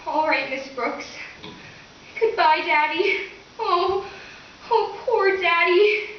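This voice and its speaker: A woman's voice: extremely high pitch, takes it slowly. A woman playing an innocent, melodramatic teenage daughter in a live radio comedy. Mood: dismayed. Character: girlish, devoted, distressed teenage schoolgirl daughter. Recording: very echoey hall, distant, dull, hissy.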